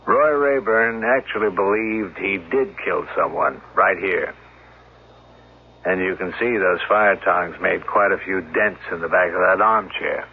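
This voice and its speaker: An actor playing a calm, world-weary police officer, recounting grim events in a measured, solemn way in a radio drama. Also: male voice, sits low, slight background noise. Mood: grave, reflective. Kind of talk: 1970s radio drama acting. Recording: narrow-band 1970s radio broadcast.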